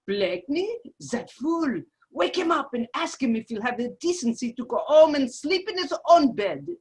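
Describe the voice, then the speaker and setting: A woman's voice: sits high, very animated, moderate pace, a little mellow. A woman playing a stiff, impatient French ambassador with curt, formal manners in a radio play table read. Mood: irritated, commanding. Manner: formal, curt, strained politeness.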